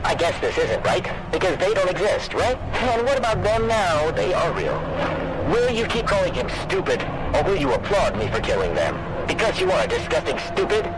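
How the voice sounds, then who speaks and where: A man's voice: sits high, sing-song, normal speed, very noisy. A man voicing a menacing, hateful spokesman villain in an analog horror video, taunting and threatening. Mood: angry taunting. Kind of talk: scripted analog horror monologue.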